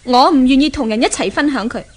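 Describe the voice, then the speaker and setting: Haughty, high-voiced Cantonese rich girl. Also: quick pace. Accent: Hong Kong Cantonese. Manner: haughty, taunting. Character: haughty, jealous rich young woman threatening her love rival. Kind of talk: 1970s Hong Kong radio drama acting. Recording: noisy old 1970s radio recording.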